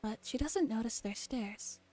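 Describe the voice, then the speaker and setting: Higher-voiced woman reading softly over music. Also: measured pace. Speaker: streamer playing a visual novel. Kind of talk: soft ASMR read-aloud and casual stream chat. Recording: music behind the voice.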